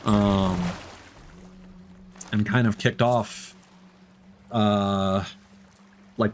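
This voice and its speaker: A man chatting casually as he livestreams a game, candid and reflective, in a halting, rambling way that often doubles back to correct itself. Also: on the low side, a bit bright, a little hiss or hum. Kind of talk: casual streamer chat while gaming.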